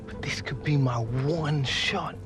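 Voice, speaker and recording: An actor playing an ambitious, earnest young professional footballer in a video game cutscene. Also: male voice, mid-range voice, takes it slowly, over music, lightly acted. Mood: earnest, troubled. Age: young adult.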